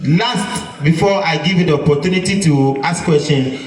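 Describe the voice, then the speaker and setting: A man with a Ghanaian accent addressing students at a school assembly in a lively, preachy call-and-response style. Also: fairly high pitch, moderate pace. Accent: Ghanaian English. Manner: lively, preachy, draws the crowd into answers. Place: large hall full of students. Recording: echoey hall from far off. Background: crowd of students.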